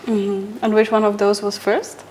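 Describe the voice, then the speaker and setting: A woman's voice: mid-range voice, moderate pace, a little hiss or hum, poor audio. Woman host asking short questions. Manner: brief prompts and steady backchannel. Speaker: podcast host. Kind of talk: interviewer questions.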